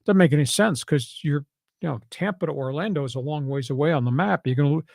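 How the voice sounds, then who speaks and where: Older American man, gravelly sports talker. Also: on the high side, fast talker, darkish tone, no room sound, clean studio sound. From New York, United States. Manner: emphatic, folksy colloquial turns. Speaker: sports talk show host and analyst. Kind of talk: opinionated sports talk.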